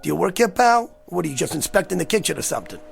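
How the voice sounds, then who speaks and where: An actor playing a brash, rattled, sarcastic man in a radio drama, with a gravelly voice and stammering wisecracks. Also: sits high, very animated, very fast, soft background music, heavily acted. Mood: irritated, confused. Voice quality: gravelly, creaky. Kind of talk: naturalistic radio drama acting.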